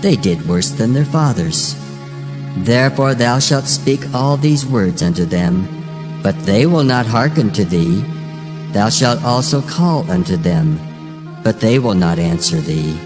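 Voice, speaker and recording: A man playing God as a stern, solemn figure in a dramatized scripture reading, with measured, declamatory delivery in archaic English. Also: mid-range voice, expressive. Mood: solemn commanding. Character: God as a stern, solemn male speaker rebuking his people. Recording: reading over prominent background music. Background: music.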